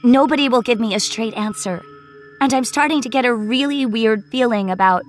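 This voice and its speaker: A woman's voice: on the high side, brisk, slight background noise, voice pushed up, lightly acted. A woman playing an agitated, sarcastic young female tenant who demands answers in an emphatic, exasperated way in an audio horror story. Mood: pleading.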